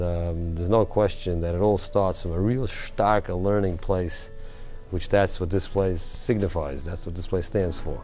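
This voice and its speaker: A man's voice: fairly low pitch, vocal fry. A man giving a testimonial for a community video. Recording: music playing behind the voice.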